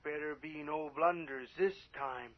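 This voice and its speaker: An actor playing a wary, suspicious accomplice speaking in hushed tones over a telephone line in a radio drama. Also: male voice, a little high, a little slow. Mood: wary, impatient. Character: wary accomplice questioning a secret murder plan. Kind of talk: radio horror drama acting. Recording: muffled radio broadcast, overheard phone line.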